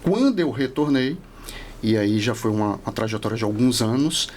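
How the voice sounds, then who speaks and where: A man's voice: medium pitch, lively intonation, normal speed, a little mellow, a little hiss or hum. A man in his forties from Salvador with a Northeastern Brazilian accent, chatting reflectively and explaining things as an interview guest. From Salvador, Bahia, Brazil. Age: 40s. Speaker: podcast guest, law professor. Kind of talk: casual interview chat.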